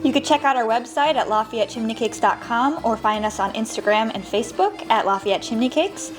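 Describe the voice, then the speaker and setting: A woman's voice: a little high, expressive, a little fast, over music, low-quality recording. A woman who co-owns a bakery, answering interview questions cheerfully with light laughs and fillers. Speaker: bakery co-owner, interview guest.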